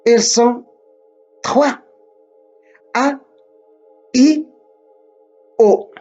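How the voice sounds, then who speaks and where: Slow, deliberate man with faint music. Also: very high-pitched, fairly even intonation, a little mellow, highs cut off. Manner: deliberate, repeats himself, self-corrects. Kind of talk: slow spoken lesson to camera.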